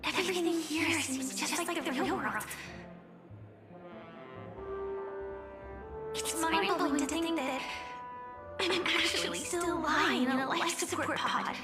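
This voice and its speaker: A woman playing a gentle, determined young female warrior in a video game, earnest and soft-spoken. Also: high voice, unhurried, over music. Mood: light, curious.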